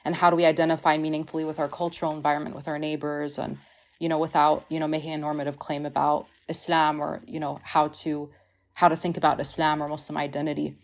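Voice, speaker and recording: A woman's voice: deep voice, level tone, quick pace. A woman, a researcher guesting on a podcast, speaking in an earnest, reflective way, full of "like" and "you know". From Missouri, United States. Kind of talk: podcast discussion.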